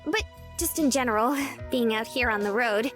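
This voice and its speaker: A woman's voice: fairly high pitch, moderate pace, natural voice. An actress playing a naive, bubbly young woman in a visual novel, chatty, girlish and a little hesitant, with music underneath. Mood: cheerful, playful. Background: game music.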